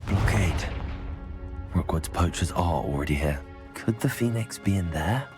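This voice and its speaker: A man's voice: deep voice, animated, measured pace, music in the background. A man playing a brave, polite teenage wizard hero in a video game, with an earnest manner. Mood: wary. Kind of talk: video game character dialogue.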